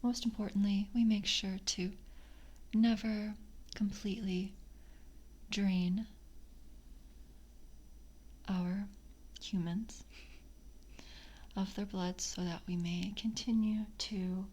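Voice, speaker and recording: A woman voicing a polite, hungry, slyly predatory vampire coven screener in a soft ASMR roleplay, whispering and hesitant, with formal, coaxing words. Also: mid-range voice, fairly even intonation, takes it slowly, long pauses, breathy edge.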